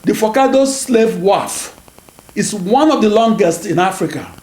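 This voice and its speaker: High-voiced Nigerian man lecturing. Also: expressive, a little mellow. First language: Igbo. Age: 60s. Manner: measured, deliberate delivery with occasional self-corrections. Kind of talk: scripted lecture. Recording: roomy echo with faint static.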